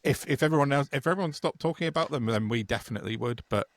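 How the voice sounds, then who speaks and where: A man's voice: medium pitch, rapid-fire, dry recording. A man co-hosting a political podcast, chatting casually with frequent restarts and wry remarks. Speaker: podcast co-host.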